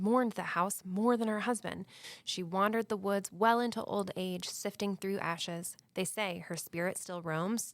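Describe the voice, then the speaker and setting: An actress playing a wry, spirited young daughter who guides ghost tours in an audio drama, with a dramatic storytelling flair. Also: female voice, on the low side, no echo.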